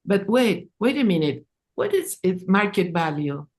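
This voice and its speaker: A woman, not an English speaker, presenting her own work in a halting, informal way, repeating words. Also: medium pitch, big pitch swings. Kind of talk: informal artist talk and reading.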